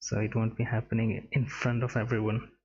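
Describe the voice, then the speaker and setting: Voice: medium pitch, level tone, a little fast, darkish tone. A game streamer reacting casually and empathetically to a story while playing, in murmured asides. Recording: dull sound over faint game music.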